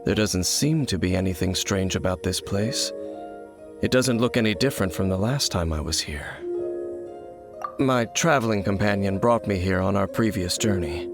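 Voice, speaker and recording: A man playing a solemn, world-weary, cryptic wanderer in a video game, speaking in a formal, measured, brooding manner. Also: deep voice. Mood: reflective. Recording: music playing behind the voice. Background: game music.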